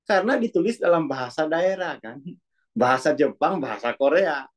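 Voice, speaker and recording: A man's voice: a little high, lively intonation, normal speed. A man, a Muna speaker, talking in a casual, reflective monologue that is loose and full of asides. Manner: musing, self-correcting, loose with many asides. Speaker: speaker on consciousness and self-development.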